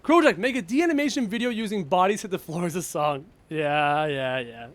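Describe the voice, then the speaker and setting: A man's voice: high-pitched, animated, normal speed, no room sound. A young Canadian man with a slight cough chats casually during a live gaming stream, reading out viewer questions and getting frustrated with the game. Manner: chatty, reads viewer questions aloud, frustrated outbursts at the game. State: sick with a cough. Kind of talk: casual live-stream chat while playing.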